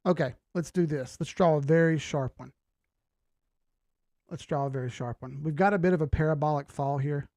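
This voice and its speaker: A man's voice: a little high, moderate pace, a little mellow, no echo. A man hosting a live stream, giving casual commentary and thinking aloud in slangy, informal language. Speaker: host of a live market analysis stream.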